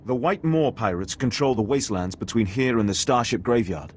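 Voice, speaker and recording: A man's voice: mid-range voice, lively intonation, a little fast, a little hiss or hum, natural voice. An actor playing a stern, dignified aristocratic male alien base commander in a video game. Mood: grave, measured.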